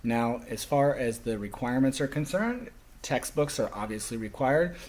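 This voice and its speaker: A man delivers a classroom lecture, voicing it for a sign language teacher. His voice sits at a middling pitch and has a husky, unpolished grain. He talks in halting phrases and leans firmly on key words. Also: animated, a little mellow.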